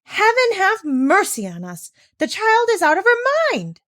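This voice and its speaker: Stern, scandalized housekeeper played by a woman reader: haughty scolding, exclamatory, high-pitched, storybook style. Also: huge pitch swings, unhurried, fairly bright tone, dry recording, studio quality, heavily acted. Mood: horrified, outraged. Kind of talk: children's storybook character reading.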